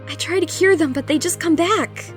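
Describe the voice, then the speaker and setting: A woman plays a worried young royal pony in a cartoon audio drama. She is high-pitched, gentle and earnest, speaking with polite concern over clear background music. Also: normal speed. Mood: worried, pleading.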